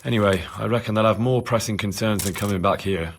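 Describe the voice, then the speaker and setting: An actor playing an earnest, plucky young peasant turned soldier in a video game drama, plain-spoken and reassuring. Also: male voice, fairly low pitch, fast, a little hiss or hum, natural voice. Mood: insistent, persuading.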